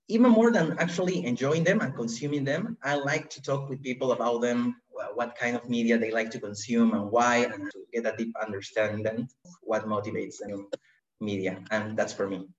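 Peruvian man, roomy lo-fi recording. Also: mid-range voice. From Peru. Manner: thoughtful, with frequent "uh" pauses. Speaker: podcast guest. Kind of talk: casual conversation, introducing himself. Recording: roomy, dull lo-fi remote recording.

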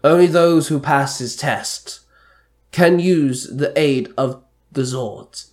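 A man voicing a grave, commanding ancient spirit god in a story reading, with slow, portentous delivery. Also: on the high side. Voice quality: bellowing. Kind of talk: amateur fan-fiction story reading.

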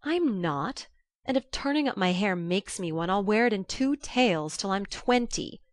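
A woman's voice: mid-range voice, lively intonation, measured pace, no echo, studio quality, natural voice. A woman voicing a boyish, hot-tempered teenage girl in an audiobook story, brusque and emphatic. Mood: defiant. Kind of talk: audiobook character acting.

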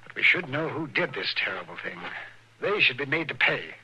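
An actor playing a grave, insistent frontier town doctor in a 1950s radio western, speaking in a plain, folksy, halting way. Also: male voice, medium pitch, sing-song, pushed and bright. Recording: noisy 1950s radio drama recording.

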